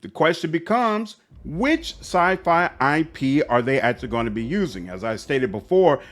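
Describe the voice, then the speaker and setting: A man's voice: fairly high pitch, big pitch swings, moderate pace, fairly bright tone. Man hosting a gaming commentary video. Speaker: gaming channel host.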